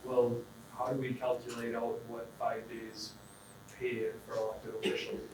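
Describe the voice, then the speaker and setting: A man serving as county supervisor, explaining a resolution in a halting way with restarts. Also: medium pitch, monotone, darkish tone. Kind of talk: explaining at a public meeting. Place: council chamber. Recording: distant mic in an echoey room with hum.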